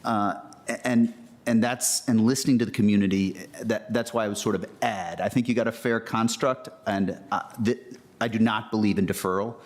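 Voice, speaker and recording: An American man on a county board, giving halting remarks at a public hearing and often repeating words as he restarts. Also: on the low side, steady tone.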